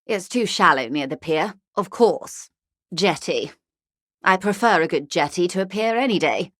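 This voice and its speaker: An actress voicing a polished, wry, middle-aged upper-class corporate negotiator in a video game, speaking in a composed, formal, diplomatic way. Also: female voice, fairly low pitch, animated, a little slow, fairly bright tone, dry recording, studio quality. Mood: polite, assured. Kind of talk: video game character dialogue.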